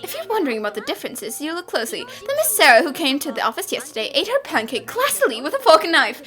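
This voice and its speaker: Woman acting high-voiced, confident cartoon girl detective. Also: huge pitch swings, fast, over music. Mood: assured, deductive. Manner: assured, explanatory, dramatic. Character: confident, theatrical young female detective. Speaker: voice actor. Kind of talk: fan-dubbed cartoon acting.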